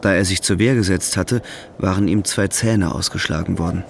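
Low, creaky male narrator. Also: steady tone, moderate pace, a little hiss or hum. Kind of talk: scripted radio drama narration.

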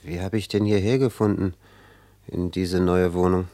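A man's voice: low voice, fairly even intonation, moderate pace, warm tone. An actor playing a proud, brooding, ambitious young man in a radio play. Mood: bewildered. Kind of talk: German radio play acting.

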